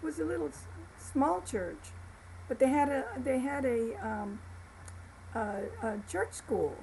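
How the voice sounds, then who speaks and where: Brief: older woman, 70s, gravelly and slow, homespun life-story testimony. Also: sits high, animated, warm tone, a little hiss or hum, low-quality recording. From Florida, USA. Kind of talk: personal testimony to camera.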